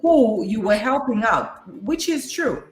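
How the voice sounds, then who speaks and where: A woman in her forties co-hosting a talk show, agreeable and quick to laugh. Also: fairly high pitch, lively intonation, reverberant, over music. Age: 40s. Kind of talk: casual talk show chat.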